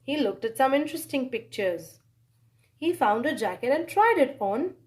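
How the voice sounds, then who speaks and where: A woman with a high voice narrates a children's storybook. Her delivery swings widely in pitch, with dramatic pauses and animated exclamations as she reads aloud.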